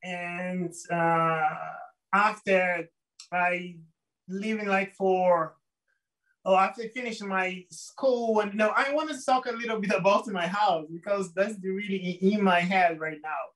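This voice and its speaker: A man from Brazil with a Brazilian Portuguese accent, a guest telling his life story in an interview, halting and searching for words in English. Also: high voice, steady tone, fairly bright tone, far from the mic, poor audio.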